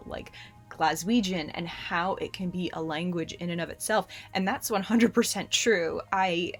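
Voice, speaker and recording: A young adult woman with an American accent, talking casually to camera as a host in a chatty, animated way with frequent restarts. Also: fairly low pitch. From United States. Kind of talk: casual explanatory talk to camera.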